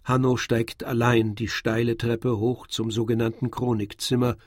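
A man narrating a literary radio drama from a script in a measured, formal storytelling manner. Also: mid-range voice, flat delivery, no echo, clean studio sound. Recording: faint music behind the narration. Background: faint music.

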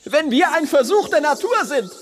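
An actor playing a panicky, defensive man on trial in a radio play, excitable and stammering as he justifies himself. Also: very high-pitched, normal speed. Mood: defiant, defensive. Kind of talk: German radio play acting.

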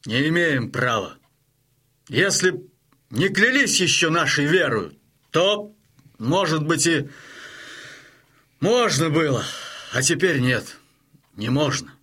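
An actor playing a stern but hearty Cossack chieftain in an audio drama, with a rough voice and a weighty, commanding manner. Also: male voice, a little high, very animated, takes it slowly, pauses often, bright, forceful tone, exaggerated voice. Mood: firm refusal.

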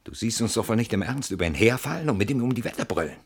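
A man's voice: on the low side, expressive, fast, breathy edge. An actor in a German radio play, playing a fearful, brooding man barricaded at night, in an anxious theatrical manner. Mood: sarcastic, agitated. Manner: theatrical, anxious, by turns hushed and agitated.

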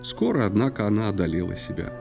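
A man narrating a children's audio play in verse, with expressive, unhurried storytelling. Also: on the low side, creaky, soft background music.

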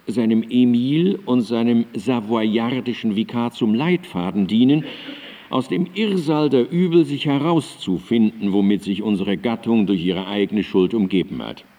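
An actor playing an earnest, reflective Enlightenment philosopher in a radio drama, speaking in measured, emphatic, carefully phrased declamation. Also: male voice, medium pitch, mellow, lightly acted. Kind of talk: radio drama monologue of historical writings. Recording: reverberant hall sound.

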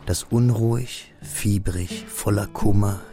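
Man, low voice, solemn measured literary narration, radio drama, music in background. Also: fairly even intonation.

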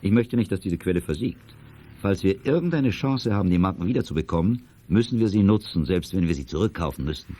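Deep-voiced, formal auction house director. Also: male voice, animated, warm tone. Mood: earnest, confidential. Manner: formal, measured, polite. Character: courteous, businesslike male auction house director, discreet and earnest. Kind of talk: 1980s children's audio drama acting.

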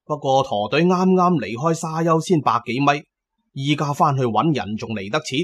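粵語男聲，第一人稱講故事. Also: male voice, medium pitch, expressive, moderate pace. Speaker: storyteller. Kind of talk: first-person dramatic audiobook narration.

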